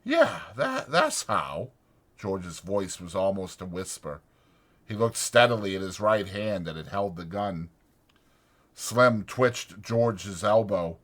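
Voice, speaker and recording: A man narrating an audiobook dramatically, in a measured and deliberate way. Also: deep voice.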